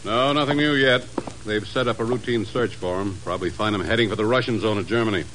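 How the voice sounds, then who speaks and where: A middle-aged man playing a sceptical, excitable intelligence chief in a 1950s radio drama, blustery and incredulous. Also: on the low side, fast talker, a little hiss or hum, natural voice, low-quality recording.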